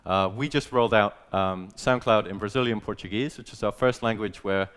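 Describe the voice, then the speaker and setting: Low smooth-voiced man presenting. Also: fairly quick, fairly bright tone. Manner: conversational, many fillers and restarts. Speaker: conference speaker. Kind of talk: casual presentation talk.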